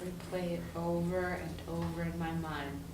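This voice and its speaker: A woman's voice: on the low side, fairly even intonation, normal speed, natural voice. A woman acting a timid, self-conscious figure with a hesitant manner in staged dialogue. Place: live staged reading indoors. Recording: distant, echoey, clearly noisy room.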